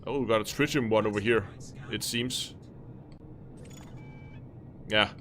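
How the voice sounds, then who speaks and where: A man's voice: a little slow, fairly bright tone, slight background noise. A man with a Danish accent thinking aloud in casual commentary while live-streaming a game. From Denmark. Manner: thinking aloud, musing, low-key.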